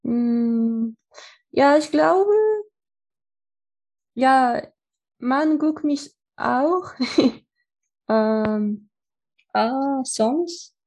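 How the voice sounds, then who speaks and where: A woman's voice: high voice, extremely slow, pauses often, darkish tone, low-quality recording. A woman with a Mexican accent, a native Spanish speaker, chatting casually and hesitantly as she searches for words. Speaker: interviewee. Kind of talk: casual chat.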